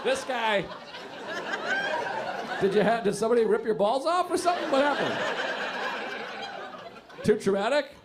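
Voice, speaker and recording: Male comic, teasing crowd work, over a loud laughing audience. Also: extremely high pitch, moderate pace, long pauses. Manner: teasing, animated, laughing through his lines. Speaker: stand-up comedian. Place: comedy club stage with a live audience. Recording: echoey club with loud crowd noise.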